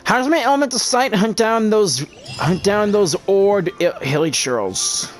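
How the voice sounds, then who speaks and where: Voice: mid-range voice, animated, unhurried, soft background music. Casual, slangy gamer playing along. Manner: informal, slangy. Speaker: gaming video narrator. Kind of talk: casual game playthrough, reading dialogue and commenting.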